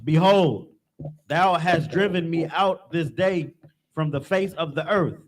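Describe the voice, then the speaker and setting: Guy with a higher voice reading bible verses aloud, steady. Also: slow pace. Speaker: scripture reader.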